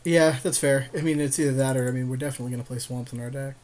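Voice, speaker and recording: A man's voice: medium pitch, fairly even intonation, very fast, darkish tone. A man co-hosting a podcast, bantering casually in slangy, joking talk during a card game draft. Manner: slangy, jokey. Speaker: podcast co-host.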